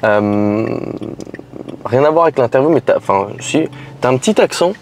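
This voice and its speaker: A man hosts a casual interview from a moving car, piling up fillers and restarts as he teases his guest. His voice sits at an ordinary male pitch. Clear traffic noise fills the background. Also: expressive, darkish tone. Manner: halting, many fillers, teasing. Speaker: interviewer and show host. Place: inside a car on city streets.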